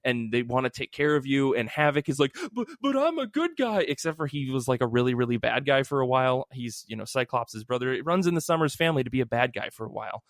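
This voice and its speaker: A man's voice: mid-range voice, animated, quick pace, hardly any pauses, no echo. Casual male podcast host, dry close sound. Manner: rambling, enthusiastic, informal. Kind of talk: casual comic book podcast chat.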